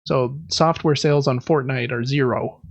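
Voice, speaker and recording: A man's voice: medium pitch, fairly even intonation, moderate pace, creaky, dry recording, dull audio. A man hosting a podcast, giving casual, conversational news commentary with informal, slangy asides. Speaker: podcast host.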